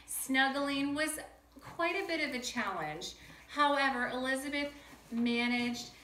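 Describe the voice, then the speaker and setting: Woman, children's read-aloud, bright tone, echoey room, faint noise. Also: high voice, unhurried. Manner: animated, draws out words, talks to kids directly. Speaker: teacher reading aloud to children. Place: home room with pets nearby.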